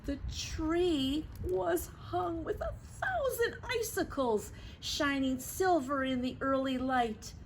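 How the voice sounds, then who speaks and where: Very slow, expressive woman storyteller. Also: sits high. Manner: expressive, dramatic stretched words, gasps. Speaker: storyteller for children. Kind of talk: picture-book read-aloud, narration with asides to children. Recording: clearly noisy background.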